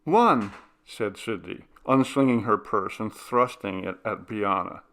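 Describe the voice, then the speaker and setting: Gravelly male story narrator. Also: on the low side, a little slow, a bit bright. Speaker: audiobook narrator. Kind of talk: scripted dramatic story narration.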